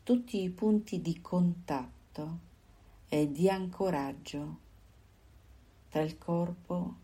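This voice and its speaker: A woman guiding a body scan meditation, giving calm, unhurried instructions in short phrases with pauses. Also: on the low side, breathy edge. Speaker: meditation guide.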